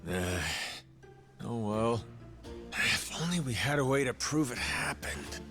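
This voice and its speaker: An actor playing a spirited aging gangster turned pirate captain in a video game, with slangy, folksy, drawling talk. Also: male voice, mid-range voice, lively intonation, slow, pushed and bright, faint music behind. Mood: exasperated. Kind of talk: video game cutscene acting.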